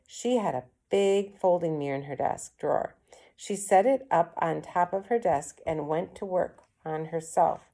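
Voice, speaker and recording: A woman's voice: fairly low pitch, normal speed, dark tone. A woman reading a children's story aloud as a first-person narrator. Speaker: read-aloud reader.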